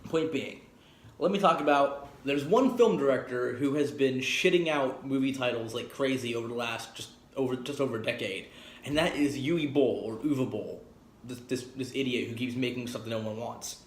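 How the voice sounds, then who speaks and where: A man's voice: medium pitch, moderate pace. A man hosting an informal video review to camera, speaking in a blunt, opinionated, rambling way. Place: home garage, indoors. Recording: echoey room with faint background noise.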